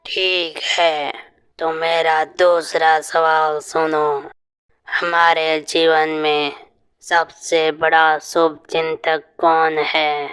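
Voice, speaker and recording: Man as slow, solemn bandit ghost, wildly swooping. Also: fairly high pitch, completely flat delivery, fairly bright tone, smooth, low-quality recording. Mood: grave, testing. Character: ghost of a male bandit guarding treasure, stern. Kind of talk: Hindi fairy-tale cartoon dub.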